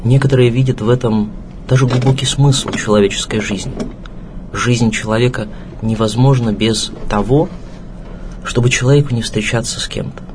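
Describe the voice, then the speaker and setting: A man giving a reflective spoken tribute in measured, solemn phrases with pauses. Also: medium pitch, steady tone, noisy background. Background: music behind the voice.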